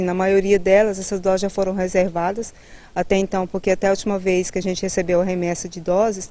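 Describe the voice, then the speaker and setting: A woman in her thirties with a Brazilian Portuguese accent explains things as a health official in an interview. Her voice is dark and soft-edged, at a middling pitch. She speaks haltingly, dropping in tag words, into a handheld mic outdoors. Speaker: public health immunization director. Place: outdoors in a building's garden. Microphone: handheld reporter microphone held toward her.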